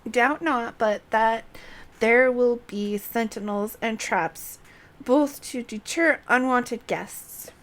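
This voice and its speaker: A woman's voice: a little high, slow, voice pushed up. A woman voicing a composed, wry sorceress guide from a fantasy game, reading the dialogue in a formal, dramatic manner. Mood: solemn warning.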